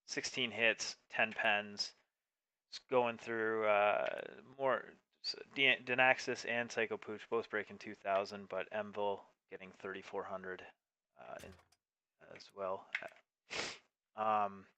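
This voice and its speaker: Male esports caster, lowish and forceful, halting delivery, some background noise. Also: flat delivery. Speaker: esports match commentator and streamer. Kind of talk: live tank game esports commentary.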